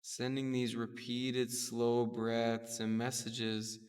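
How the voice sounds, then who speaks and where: A man leading a guided meditation with gentle, soothing, unhurried instruction. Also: medium pitch, flat delivery, smooth. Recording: distant mic in a reverberant space.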